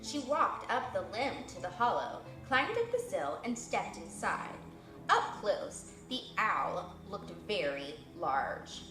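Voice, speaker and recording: A woman reading a children's novel aloud with expressive, animated narration. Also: fairly high pitch. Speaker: reader. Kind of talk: storybook read-aloud. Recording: echoey room, faint music.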